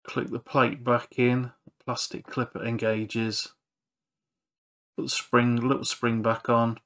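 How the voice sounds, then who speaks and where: A man's voice: mid-range voice, level tone, slow pace, a bit bright. A man narrating a hands-on repair walkthrough in an understated, matter-of-fact way. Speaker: repair channel presenter.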